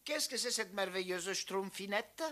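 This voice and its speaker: A man voicing a boastful, gallant little Smurf storybook hero in an animated cartoon, with theatrical swagger. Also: high voice, measured pace, a little hiss or hum.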